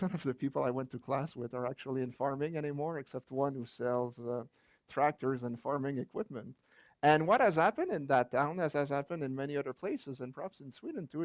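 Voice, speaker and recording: A man's voice: medium pitch, no echo. A man with a French Canadian accent, a native French speaker from Quebec, giving a chatty, anecdotal book-launch talk with light chuckles and fillers. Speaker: author and guest speaker.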